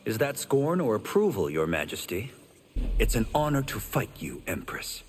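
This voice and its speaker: Courteous fighter, lively intonation. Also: male voice, lowish voice, normal speed. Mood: respectful. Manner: polite, earnest. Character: courteous, respectful male fighter. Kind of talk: video game dramatic acting. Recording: long reverb, loud noise and clicks.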